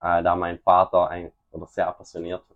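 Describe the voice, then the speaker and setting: A young man from South Tyrol, a racing driver as podcast guest, answering in a halting way with many fillers and restarts. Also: sits low, completely flat delivery, dull audio. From South Tyrol, Italy. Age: young adult. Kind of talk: casual interview answers.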